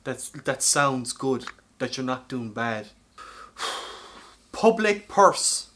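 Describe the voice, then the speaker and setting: A man's voice: medium pitch, lively intonation, extremely slow, fairly bright tone, slight background noise. An Irish man co-hosting a comedy podcast in casual banter, talking with a persuasive, insistent salesman's manner. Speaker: podcast co-host.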